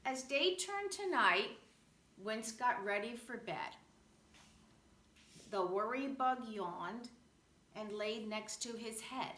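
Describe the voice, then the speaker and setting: A woman reading a children's picture book aloud in an expressive, rhythmic way. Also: a little high, takes it slowly, long pauses. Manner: animated, rhythmic rhyming delivery. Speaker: storybook reader. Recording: roomy echo, faint background noise.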